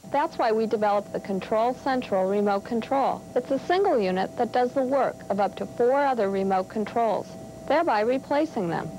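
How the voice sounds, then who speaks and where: A woman's voice: fairly high pitch, animated, normal speed, warm tone, a little hiss or hum, rough recording. A woman giving a testimonial in a 1980s television segment. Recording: 1980s TV audio with music.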